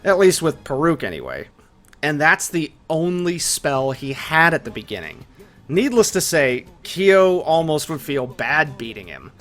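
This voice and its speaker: Male video essayist, slightly high voice, casual and conversational, scripted narration with music behind. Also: very animated, a little slow, fairly bright tone. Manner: casual, conversational, with colloquial asides.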